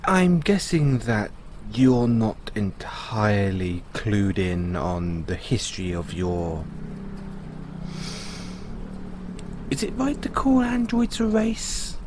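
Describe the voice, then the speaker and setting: Guy acting as a patient android owner in an audio roleplay, lowish voice that swings a lot, musing and explaining. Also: wildly swooping pitch, noisy background, full character voice. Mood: gently musing. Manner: musing, explanatory, with pauses and sighs. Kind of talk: audio roleplay, conversational storytelling.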